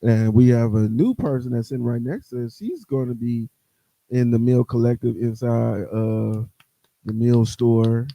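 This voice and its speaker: A man with a gravelly, fry-heavy voice jokes around as a podcast guest. He sounds close and dry, with no room around him. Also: mid-range voice, measured pace, warm tone, no room sound, poor audio. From Milwaukee, USA. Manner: joking, playful. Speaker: clothing store co-owner, podcast guest. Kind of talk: casual chat.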